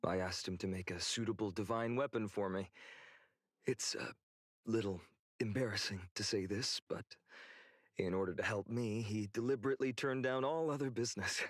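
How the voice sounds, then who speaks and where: An actor playing an earnest, resolute young swordsman hero in a video game, speaking in a measured, friendly way. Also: lowish voice, no room sound, clean studio sound, lightly acted. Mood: fond, sheepish. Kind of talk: English video game voice acting. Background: background game music.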